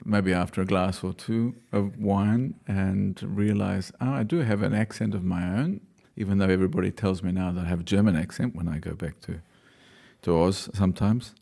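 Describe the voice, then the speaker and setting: Male dancer-choreographer from Australia, low-pitched, reflective and hesitant delivery. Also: gravelly, darkish tone. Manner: thoughtful, hesitant, restarts sentences. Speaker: dancer and choreographer, class leader. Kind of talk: reflective interview answers.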